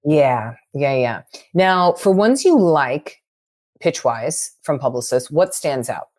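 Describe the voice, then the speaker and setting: Lady host with a low voice, keeps saying yes and good overview, encouraging. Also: very animated, slow, a little mellow. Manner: affirming, encouraging, echoes answers. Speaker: interview host. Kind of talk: conversational interview.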